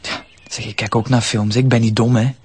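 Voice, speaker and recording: A male actor with a Flemish accent playing a wary, frank captive boy in an audio drama, with a husky, creaky voice and a hesitant delivery. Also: medium pitch, level tone, brisk, a little mellow, natural voice. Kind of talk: naturalistic audio drama acting.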